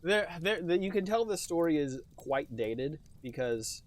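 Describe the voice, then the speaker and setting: A man's voice: on the high side, lively intonation, unhurried. A man hosting a comedy podcast, joking sarcastically in casual chat. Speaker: podcast host.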